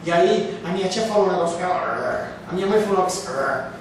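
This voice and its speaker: A man's voice: high-pitched, expressive, quick pace. A man preaching a sermon as pastor, emphatic, with rhetorical questions and acted-out excuses. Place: church hall. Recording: very echoey room, speaker far from mic, faint hiss.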